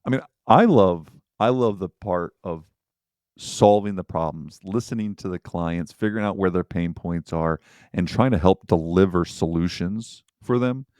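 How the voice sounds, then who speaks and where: Deep-voiced earnest man, dry sound. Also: moderate pace, a little mellow, dry recording. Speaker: podcast co-host, firm owner. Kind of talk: casual chat.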